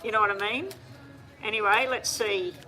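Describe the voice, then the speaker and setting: Bright-voiced woman reading tarot live. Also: medium pitch, soft background music, poor audio. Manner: chatty, hurried, talking to viewers directly. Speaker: tarot reader.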